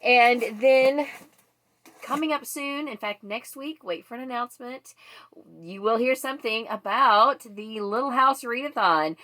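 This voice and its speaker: A woman's voice: on the high side, unhurried, bright, forceful tone. A woman hosting a casual book vlog, chatting to viewers in a rambling way that wanders off on tangents.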